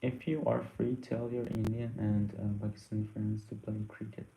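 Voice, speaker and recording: A young man whose first language is Persian, hosting a casual live Q&A, reading out viewer questions and answering haltingly with many fillers. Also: on the low side, little pitch movement, vocal fry, roomy sound, lo-fi sound.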